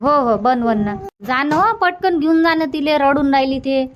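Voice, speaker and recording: कार्टूनमधल्या हुकमी पण काळजी घेणाऱ्या गावाकडच्या मामीला वैदर्भीय मराठीत आवाज देणारी बाई, आग्रहाने बोलणारी. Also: female voice, high voice, moderate pace, a little hiss or hum, lightly acted. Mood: bossy. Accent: Vidarbha Marathi. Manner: insistent, repetitive. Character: bossy but caring village wife and aunt. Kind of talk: cartoon comedy dubbing.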